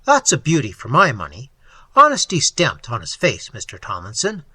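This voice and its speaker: A man's voice: medium pitch, huge pitch swings, moderate pace, bright, forceful tone, slightly rough, dry recording, full character voice. A man voicing a jovial, coarse, talkative policeman telling tales in slang for an audiobook. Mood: jovial, mocking. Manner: slangy, rambling, relishing his own tale. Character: jovial cockney policeman, coarse, talkative, fond of grisly anecdotes. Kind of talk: audiobook character voice.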